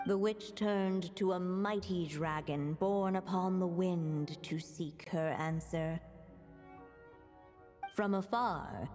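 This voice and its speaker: A woman playing a solemn, gentle storyteller, reading storybook narration in a video game with measured, lyrical delivery. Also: on the low side. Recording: long reverb, background music.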